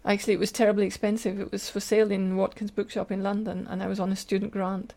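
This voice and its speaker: A woman's voice: mid-range voice, level tone, quick pace, creaky, mellow. An older woman with a Scottish accent, telling personal stories in an earnest, detailed way as an interview guest. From Scotland. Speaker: podcast guest, teacher and lecturer.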